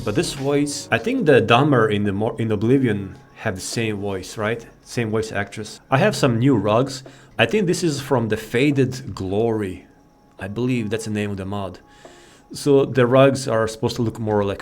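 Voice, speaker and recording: A man giving casual, rambling commentary as he shows off a modded game. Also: fairly low pitch. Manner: rambling, informal, easily sidetracked. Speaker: gaming video host. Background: faint game music.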